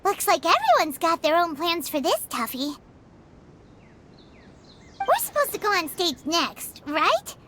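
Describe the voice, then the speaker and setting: A woman voicing a tiny, excitable, nosy childlike fairy companion in a video game, with a squeaky voice. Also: measured pace, pauses often, slight background noise, heavily acted. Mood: curious, musing. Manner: excitable, nosy, speaks of self in third person. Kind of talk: video game character acting. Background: background music.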